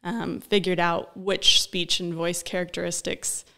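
A young woman, a speech-language pathologist, explaining her work in a relaxed interview, often pausing with "um," recorded on a studio desk mic. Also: lowish voice. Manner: explanatory, thoughtful, frequent um fillers. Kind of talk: conversational interview. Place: small studio office. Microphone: desk-mounted broadcast mic.

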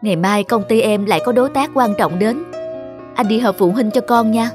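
A woman's voice: a little high, normal speed, over music, lightly acted. A woman playing a stern, worried mother in a cartoon dub. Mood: businesslike. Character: stern, worried mother scolding her son. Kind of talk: Vietnamese cartoon dubbing.

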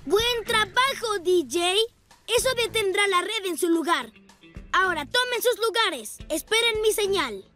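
A very high, bright child hero voice, a young boy rescue motorcycle who is brave and friendly. He speaks with earnest enthusiasm at an unhurried pace, rallying his friends. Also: expressive, soft background music, full character voice. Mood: excited, commanding. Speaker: cartoon lead character. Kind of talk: animated children's cartoon dubbing.

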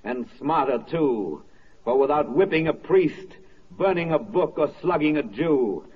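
An actor playing a solemn, rousing wartime narrator, declaiming in an oratorical radio drama style. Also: male voice, on the high side, lively intonation, measured pace, breathy edge. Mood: rousing, proud. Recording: muffled 1940s radio recording.

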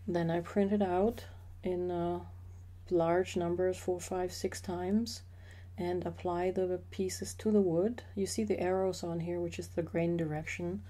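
A middle-aged woman narrating a slideshow about her woodworking hobby in a measured, explanatory way with occasional fillers. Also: lowish voice, dark tone. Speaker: associate professor sharing a hobby.

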